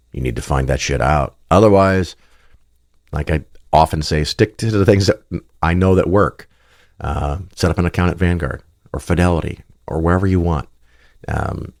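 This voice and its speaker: A man hosting his own podcast, talking casually and bluntly, his voice raspy and gravelly from a cold. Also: very deep voice, darkish tone, dry recording, clean studio sound. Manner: blunt, plainspoken, chatty with fillers. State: getting over a cold. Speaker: podcast host. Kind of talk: casual solo monologue.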